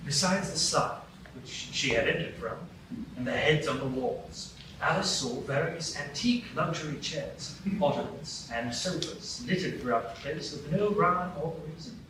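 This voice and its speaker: A man narrating a live radio play in a lively, expressive storybook manner. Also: fairly high pitch, moderate pace, recorded from a distance. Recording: roomy live stage sound from afar with background noise.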